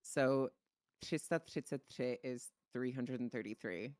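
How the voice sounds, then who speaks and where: A man's voice: mid-range voice, measured pace, darkish tone, no echo. A man from the Czech Republic, a native Czech speaker, bantering informally and animatedly in game roleplay, swearing freely. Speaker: streamer playing a dispatcher in online roleplay.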